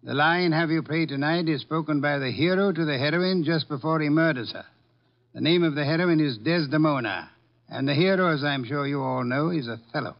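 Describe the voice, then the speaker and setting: An actor playing a pompous, imperious detective boss with precise, ornate speech in a 1950s radio drama. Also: male voice, on the high side, a little fast, close to an everyday voice. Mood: stern, commanding. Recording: dull lo-fi 1950s radio recording.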